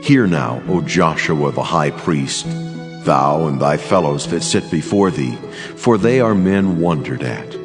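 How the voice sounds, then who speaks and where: A man playing a solemn, commanding angel who declaims God's words deliberately in a dramatized scripture reading. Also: very deep voice, expressive. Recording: long reverb, clear music underneath. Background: music.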